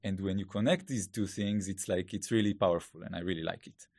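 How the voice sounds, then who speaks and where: Deep-voiced man with an Israeli accent. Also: fairly even intonation, a little fast, darkish tone, no echo, studio quality. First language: Hebrew. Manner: thoughtful, with frequent fillers and restarts. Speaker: podcast guest. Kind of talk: casual interview talk. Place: studio.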